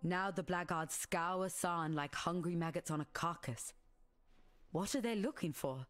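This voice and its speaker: An actress playing a grave, dignified madam of a wasteland refuge in a video game, speaking in a measured, solemn way. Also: female voice, fairly low pitch, fairly bright tone. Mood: grim. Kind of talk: scripted game character dialogue. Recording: music behind the voice.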